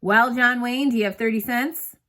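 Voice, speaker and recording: A woman voicing a gruff, grudgingly kind male bus driver in a storybook read-aloud, with a brusque, wry, grumbling manner. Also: fairly high pitch, fairly even intonation, moderate pace, fairly bright tone, pitched above the performer's own voice, natural voice. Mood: skeptical.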